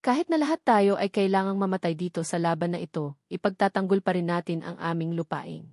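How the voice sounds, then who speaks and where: A woman's voice: fairly low pitch, a little fast, a little mellow, dry recording, clean studio sound. Woman reading as a resolute battle-worn male sect warrior. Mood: grave resolve. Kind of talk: audiobook character dialogue.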